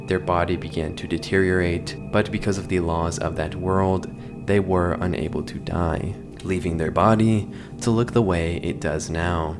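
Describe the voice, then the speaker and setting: A man hosting a video, giving narrated commentary on horror. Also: sits low. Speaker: video host. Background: background music.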